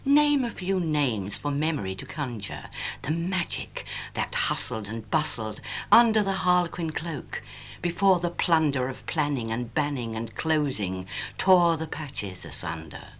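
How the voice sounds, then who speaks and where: An English woman reciting her own poem in a wistful, lyrical and measured way, on an old home cassette recording. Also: deep voice, very animated. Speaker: poet reading own work. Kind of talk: expressive poetry recitation. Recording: noisy 1980s home cassette, humming. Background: tape noise and hum.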